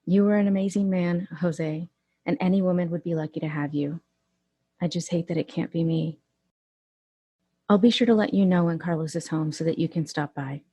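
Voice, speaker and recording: A woman acting in an audio drama as a bold, flirtatious, gossipy best friend with a sassy, expressive conversational manner. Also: lowish voice, moderate pace, husky. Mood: regretful, sincere.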